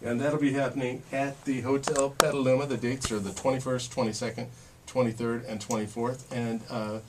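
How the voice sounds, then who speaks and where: An American man hosting a casual radio interview, chatting with guests in informal, relaxed phrasing. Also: medium pitch, moderate pace. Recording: distant mic, faint noise.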